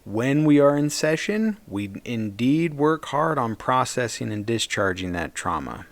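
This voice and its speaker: A man leading a guided meditation as a therapist, speaking in a gentle, soothing and reassuring way. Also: mid-range voice, very animated, a little slow, vocal fry, no room sound.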